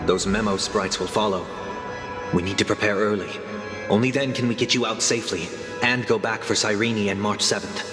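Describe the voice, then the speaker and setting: A man's voice: fairly low pitch, fairly quick, close to an everyday voice. Man playing a courteous, solemn figure in echo. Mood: earnest, courteous. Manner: formal, polite, earnest. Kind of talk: video game voice acting. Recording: echoey hall reverb, music behind.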